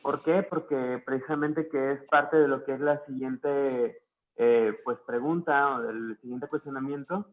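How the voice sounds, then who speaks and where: Man, panel guest, halting speech, phone-quality audio. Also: medium pitch, steady tone. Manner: halting, many fillers. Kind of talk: online panel discussion. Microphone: video call.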